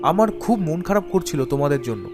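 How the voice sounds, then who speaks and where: A man voicing an earnest young student son in an animated ghost story, with an emotive, pleading delivery. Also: on the high side, fast talker, music in the background. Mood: affectionate, eager.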